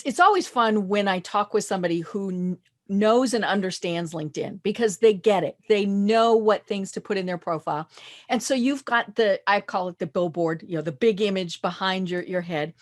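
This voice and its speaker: A woman hosting an interview show, attentive and chatty, often giving short affirmations. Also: medium pitch. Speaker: podcast host. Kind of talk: casual interview talk.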